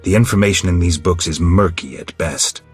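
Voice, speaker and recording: An actor playing a gruff, stoic monster hunter in a video game, terse and deadpan, with a gravelly voice. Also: male voice, low-pitched, normal speed, soft background music. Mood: deadpan, guarded. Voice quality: gravelly, husky.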